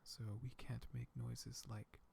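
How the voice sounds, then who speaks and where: A man voicing a gentle, soothing dragon in a soft-spoken ASMR roleplay, calm and slow, with clicking tongue sounds. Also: medium pitch, gravelly, background noise.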